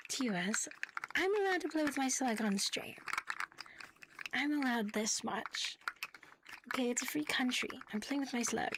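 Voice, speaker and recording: Girl doing live asmr chat, kinda high soft breathy voice, half whispering, teasing, takes her time. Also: animated, slow pace, long pauses, background noise. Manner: playful, giggly, teasing. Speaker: ASMR livestream host.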